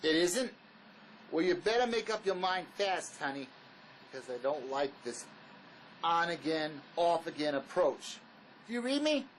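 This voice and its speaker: A man's voice: on the high side, very animated, measured pace, poor audio. An actor playing a cynical, impatient male ex-con in a drama, with a curt, needling, tough-talking manner. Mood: angry, impatient. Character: hard-edged, impatient ex-con man, cynical and resourceful. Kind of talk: dramatic script performance. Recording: faint background noise.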